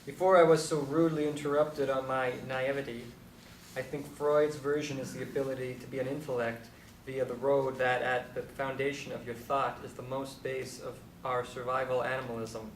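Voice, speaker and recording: An actor playing a blunt, irreverent aging Beat intellectual who philosophizes deliberately in a stage drama. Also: male voice, mid-range voice, little pitch movement, natural voice. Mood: pontificating. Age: older. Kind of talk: stage play dialogue. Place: live stage performance recorded from a distance. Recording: echoey, distant mic, faint noise.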